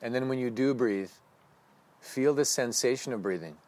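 A man leading a guided breathing meditation with slow, calm, instructive cues. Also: mid-range voice.

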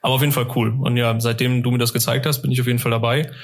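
A man's voice: mid-range voice, little pitch movement, very fast. A man chatting casually as a podcast guest, telling anecdotes in a colloquial, rambling and often amused way. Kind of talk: casual interview chat, telling personal anecdotes.

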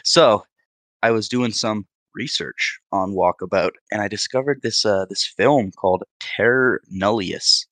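Young male podcast host, very deep, dry close recording, rambling easygoing manner. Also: unhurried, fairly bright tone, dry recording. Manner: laid-back, rambling, laughs at his own jokes. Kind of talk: casual chat.

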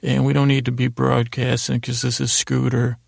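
Male podcast host, heavy vocal fry, flat intonation, meandering and halting bedtime ramble. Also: medium pitch, dry recording, studio quality. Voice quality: gravelly, creaky. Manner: meandering, halting, repeats and trails off. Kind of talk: rambling improvised bedtime monologue.

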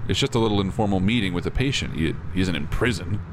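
A man's voice: deep voice, expressive, quick pace. A man playing an easygoing, skeptical but supportive police officer in conversational audio drama dialogue. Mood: calm, curious. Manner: wry, reassuring, conversational. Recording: clear car and road noise. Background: moving car ambience.

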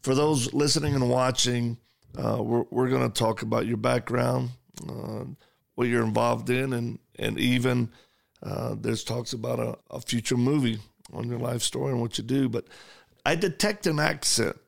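Gravelly-voiced male talk show host. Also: mid-range voice, steady tone, moderate pace. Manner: enthusiastic, repeats words when thinking. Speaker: podcast host. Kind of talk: conversational interview.

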